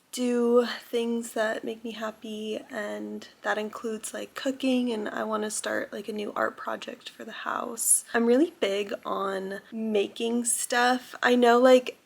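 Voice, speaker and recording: Young woman, vlog host, husky cold-roughened voice, pitched a touch high, chatty filler-heavy delivery. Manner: chatty, says "like" often. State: recovering from a cold, a little raspy. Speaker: lifestyle vlogger. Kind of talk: casual chat to camera.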